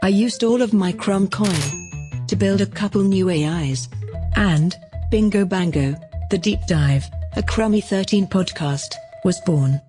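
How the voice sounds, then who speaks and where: A woman's voice: mid-range voice, unhurried, dark tone, soft background music, lightly acted. A woman playing a self-promoting, cheerfully smug AI who presents her own podcast in a scripted comedic monologue. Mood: smugly cheerful. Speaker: podcast creator and host.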